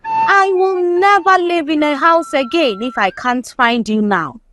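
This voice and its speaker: A woman's voice: sits high, very animated, a little slow, fairly bright tone, soft background music, exaggerated voice. A woman voicing a big, stern father alligator in a folktale, solemn and grudging. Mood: vowing.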